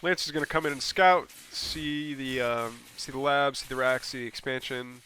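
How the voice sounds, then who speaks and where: A man casting a game replay on his own, with a stuffy, scratchy voice from a cold, talking casually with lots of ums and restarts and sudden bursts of excitement. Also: mid-range voice, moderate pace. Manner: casual, rambling, with frequent ums and self-corrections. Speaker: game caster. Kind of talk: solo replay commentary.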